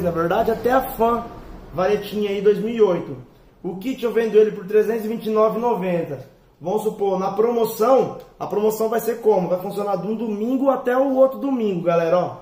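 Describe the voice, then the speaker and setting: A young hoarse man from inland São Paulo, chatting casually to viewers from his shop, filling his talk with "ó" and "galera". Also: sits high, dark tone. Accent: Brazilian Portuguese, São Paulo interior. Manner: chatty, repeats "ó" and "galera" as fillers. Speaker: motorcycle parts shop owner. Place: small shop with shelves of parts, indoors. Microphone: far camera. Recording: echoey room, mic at a distance.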